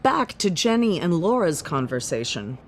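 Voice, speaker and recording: A woman's voice: fairly low pitch, very animated, moderate pace, slight background noise. A woman reading scripted narration for a radio drama.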